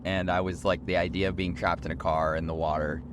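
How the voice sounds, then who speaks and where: A young man co-hosting a casual podcast from a moving car, rambling and correcting himself, his voice a little hoarse from lack of sleep. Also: sits low, level tone, a little fast. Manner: rambling, self-correcting, chatty. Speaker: podcast co-host. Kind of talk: casual chat on a road trip. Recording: road noise from a moving car.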